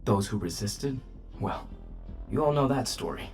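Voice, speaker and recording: A man's voice: fairly low pitch, expressive, measured pace, a little mellow. Bitter, haunted male smuggler, faint music. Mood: grim, bitter. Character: bitter, haunted former smuggler recounting wartime horrors. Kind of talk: video game cutscene acting.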